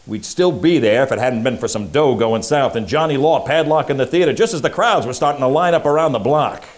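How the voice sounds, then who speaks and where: An actor playing a slick, wisecracking 1940s radio private eye, pitching in brash, slangy tough-guy patter. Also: a little mellow, close to an everyday voice. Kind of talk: hard-boiled promo narration in old-time radio style. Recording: music under the voice. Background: music.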